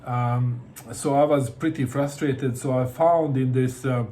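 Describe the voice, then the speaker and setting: A middle-aged man from Bosnia talks casually to camera in a mid-pitched voice. He hesitates often and repeats his words as he searches for them. A faint hiss runs behind him. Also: fairly even intonation, a little slow, mellow. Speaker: book vlogger.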